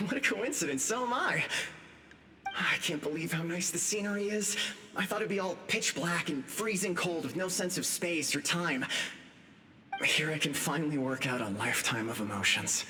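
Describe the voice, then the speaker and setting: A man's voice: fairly high pitch, animated, normal speed, noisy background. A man voicing a cheerful, carefree male spirit in an anime-style game, chatty and effusive. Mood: delighted.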